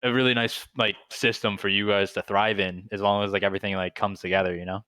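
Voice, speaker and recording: A man's voice: fairly low pitch, level tone, fast talker, sharp tone, no room sound. A man co-hosting a podcast, chatting casually and analyzing the game with lots of "like" and "you know". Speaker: podcast co-host.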